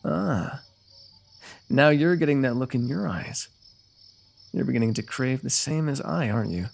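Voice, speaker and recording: A man performs a confident male fantasy noble, a former rival who has become an ally. His mid-pitched voice has a gravelly creak, and he speaks formally and wordily, always playfully goading, in the manner of an ASMR roleplay. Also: animated, unhurried. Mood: eager, goading.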